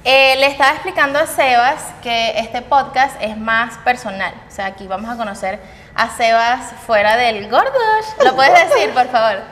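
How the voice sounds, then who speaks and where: A woman's voice: fairly high pitch, animated, moderate pace, fairly bright tone. A young woman hosting a casual podcast interview, speaking expressively with exclaimed reactions. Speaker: podcast host. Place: small indoor podcast studio. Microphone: close boom-arm microphone. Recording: echoey room with noise.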